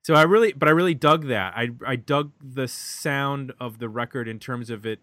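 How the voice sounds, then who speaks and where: An American man talks through music in a casual podcast style, in a middling pitch. He is reflective and halting, working out his thoughts as he goes, and the sound is dry with very little room. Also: bright, forceful tone, no room sound. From United States. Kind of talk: casual album discussion.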